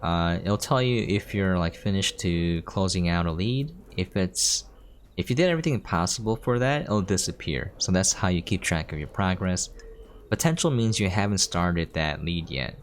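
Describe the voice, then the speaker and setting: A man's voice: low-pitched, lively intonation, moderate pace, slight background noise. A man giving casual gameplay commentary as a streamer, explaining things as he plays. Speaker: video game streamer.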